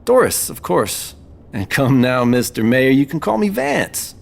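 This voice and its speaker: Man playing a gravelly, persuasive businessman schemer. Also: mid-range voice, sing-song, a little slow. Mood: suave, casual. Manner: polished, persuasive, ingratiating. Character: smooth, scheming businessman pitching grand plans, flattering. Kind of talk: audio drama acting.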